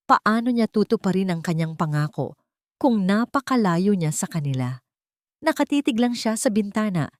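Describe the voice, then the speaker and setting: A woman's voice: lowish voice, darkish tone, no room sound, studio quality. A woman with a Filipino accent narrating a scripted love story in a dramatic storytelling style.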